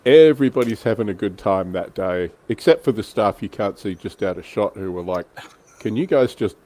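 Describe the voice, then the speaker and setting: A man with a fairly low voice hosts a casual livestream chat. He talks in a joking, self-deprecating way and swears a little. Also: expressive, moderate pace, dark tone. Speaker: livestream host.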